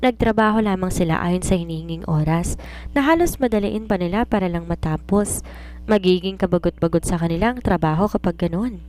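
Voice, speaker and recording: A confident woman writer in a story, played by a woman narrator, with a slightly low voice. She is earnest and preachy, explaining things in a self-assured, instructive way. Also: fairly quick, darkish tone, natural voice. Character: confident, preachy woman romance writer advising her maid. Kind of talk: audiobook character dialogue.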